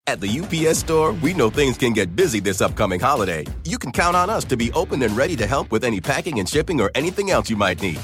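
A man's voice: mid-range voice, big pitch swings, fast, few pauses, fairly bright tone, soft background music. A man reading a lightly comic, friendly radio ad. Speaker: ad announcer. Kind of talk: scripted radio ad.

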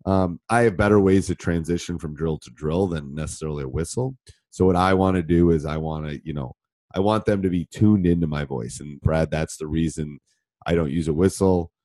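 A middle-aged man, a basketball coach, answering listener questions in a rambling, casual way, his voice roughened by a cold. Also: sits low, fairly quick, dark tone, dry recording. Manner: rambling, conversational, with many fillers and restarts. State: voice deeper than normal from a cold. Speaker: basketball coach and podcast host.

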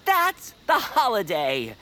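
A woman's voice: on the high side, huge pitch swings, takes it slowly, bright, forceful tone, heavily acted. A woman playing an earnest, nervous optimist in an audio fiction drama, speaking in a hesitant, sincere way. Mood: earnest, halting.

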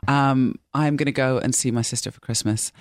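A woman's voice: a bass voice, fairly even intonation, a little fast, dry recording. Chatty British woman in a dry studio. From Scotland. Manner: chatty and playful, jokey asides, laughs easily. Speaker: guest actress. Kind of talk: casual interview chat. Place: radio studio. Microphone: studio microphone.

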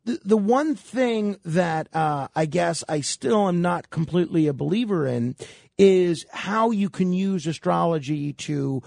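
A man hosting a radio interview, halting, with many fillers. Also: sits high, expressive, gravelly, a little mellow, dry recording, clean studio sound. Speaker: radio host.

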